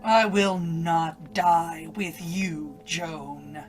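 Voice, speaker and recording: A woman's voice: on the low side, fairly even intonation, very slow, soft background music. A woman playing an evil, taunting alter ego, a voice inside a condemned girl's head, in old-time radio drama style. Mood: vengeful fury. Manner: menacing, taunting, gloating.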